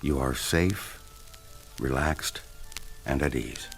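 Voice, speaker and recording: A man playing a smooth, self-important male hypnotherapist in a radio drama, deliberate and controlling in manner. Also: a bass voice, heavily acted. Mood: soothing, hypnotic. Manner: slow, soothing, deliberate, turning stern when challenged. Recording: loud music under the voice.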